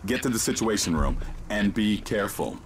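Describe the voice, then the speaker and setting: An actor playing a grave, urgent mission guide in a video game, giving deliberate, commanding instructions. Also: male voice, fairly low pitch, lively intonation, lightly acted. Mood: focused, instructive. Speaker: mission guide over radio. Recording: loud game music behind the voice.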